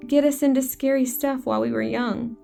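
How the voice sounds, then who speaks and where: A woman playing a dreamy young girl in an audio horror drama, speaking in a slow, lazy, dreamlike way. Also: high voice, steady tone, creaky, warm tone, faint music behind. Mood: dreamy, wistful. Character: dreamy young girl recalling childhood games with her father.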